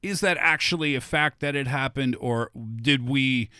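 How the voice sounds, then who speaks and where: A man with a medium-pitched voice hosts a talk show. His delivery is casual and conversational. The sound is close and dry, as in a studio. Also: moderate pace, pushed and bright, clean tone, dry recording.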